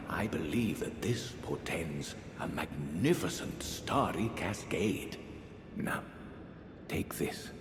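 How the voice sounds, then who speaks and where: Deep, slow, courtly old count. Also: male voice, roomy sound, noisy background, exaggerated voice. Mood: serene, portentous. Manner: theatrical, genteel, savouring words. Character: courtly, serene, unsettling scholarly old count obsessed with stars. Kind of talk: scripted video game drama, ornate formal speech.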